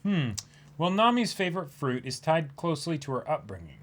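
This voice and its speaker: A man's voice: on the high side, sing-song, brisk. A man co-hosting a manga reading stream, mixing casual chat with playful read-aloud.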